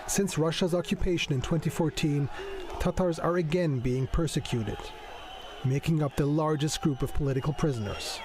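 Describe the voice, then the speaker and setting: A male reporter narrating a scripted news report in a steady, even way. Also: a little high, moderate pace. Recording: news report with music underneath.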